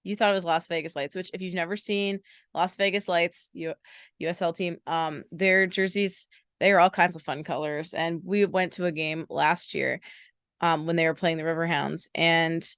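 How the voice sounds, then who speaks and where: Chatty young woman podcast co-host, smooth low voice. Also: a bit bright, dry recording, studio quality. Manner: chatty and enthusiastic, playful teasing. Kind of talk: casual sports podcast chat.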